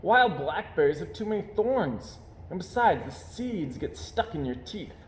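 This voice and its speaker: A stubborn little boy bear from a storybook, voiced by a man, in a raised pitch. He sounds contrary and protesting, with echo around him. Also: very animated, measured pace. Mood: dismissive. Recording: roomy echo, faint background noise.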